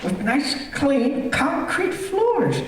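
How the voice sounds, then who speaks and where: A radio-thriller villain, a fussy and eager host with menace underneath. His voice is high and swings widely as he repeats himself at a slow pace. It carries through a very roomy theater. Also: wildly swooping pitch, exaggerated voice. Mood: sinister glee. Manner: repetitive, fussy, chuckling, sinister. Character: fussy, eager homeowner, secretly a gleeful murderous villain. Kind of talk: stage performance of golden-age radio thriller. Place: theater stage, live performance. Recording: roomy theater, far from mic, faint noise.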